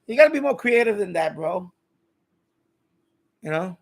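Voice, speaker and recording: A man's voice: sits high, animated, normal speed, vocal fry. A man hosting a casual live stream, talking informally to his chat in a rambling way and often repeating his phrases. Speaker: live stream host of a radio hobby channel.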